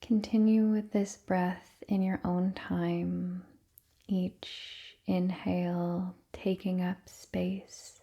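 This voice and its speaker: A woman guides a meditation in a breathy, near-whispered voice with a mellow, dark tone. Her delivery is very slow and soothing, with long pauses between phrases. The intonation stays quite flat and gentle throughout. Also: on the low side, highs cut off.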